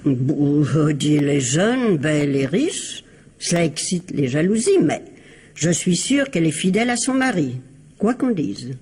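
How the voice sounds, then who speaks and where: A woman playing an elderly, falsely sweet, gossipy and scheming mother-in-law in a radio mystery, in a husky, insinuating, theatrical manner. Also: low-pitched, big pitch swings, a little slow, dark tone, exaggerated voice. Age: older. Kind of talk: radio mystery drama acting.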